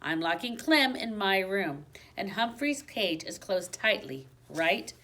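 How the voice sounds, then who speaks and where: A woman voicing a calm, reassuring mother in a children's storybook, matter-of-fact and soothing. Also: mid-range voice, slow, full character voice.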